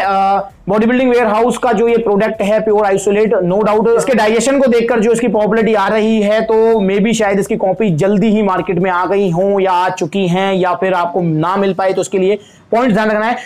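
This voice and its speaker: A man with a high, light voice and an Indian Hindi accent talks his viewers through a protein product. He is chatty and friendly, calls them friends, and stops now and then on small fillers as he reads off the label. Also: darkish tone. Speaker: supplement reviewer, channel host. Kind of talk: product review talk to camera.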